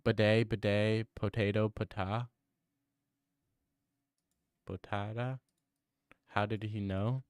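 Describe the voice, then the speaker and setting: A man's voice: fairly low pitch, flat delivery, takes it slowly, pauses often, smooth, no room sound, clean studio sound. A young man streaming and answering viewer comments in a casual, teasing way, full of mock bravado.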